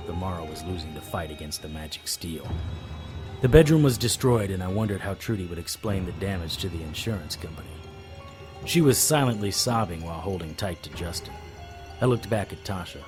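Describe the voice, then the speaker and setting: Weary occult detective, low voice, wry measured noir narration, audio drama, music underneath. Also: male voice. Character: weary, lovestruck male occult investigator narrating his own case.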